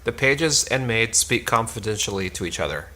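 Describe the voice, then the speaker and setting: Male script reader, bright tone and lively pitch, for cold-read stage directions. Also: normal speed.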